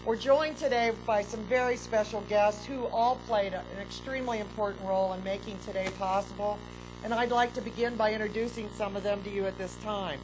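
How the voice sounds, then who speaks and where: A woman park superintendent giving a formal, measured welcome speech at an outdoor ceremony, speaking into a podium microphone with occasional fillers. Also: medium pitch, fairly even intonation, background noise.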